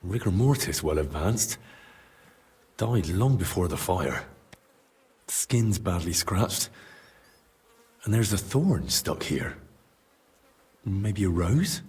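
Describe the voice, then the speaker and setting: An actor playing a blunt, probing young male investigator in a video game cutscene, speaking in a measured, matter-of-fact way. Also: low-pitched, sing-song, darkish tone, husky, full character voice. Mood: pensive, observant.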